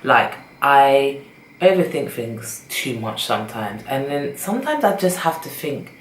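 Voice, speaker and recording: Young woman, British accent, chatty, animated, echoey room. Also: extremely low pitch, unhurried. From Britain. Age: young adult. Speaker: vlogger. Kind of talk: casual chat to camera. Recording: echoey room with faint noise.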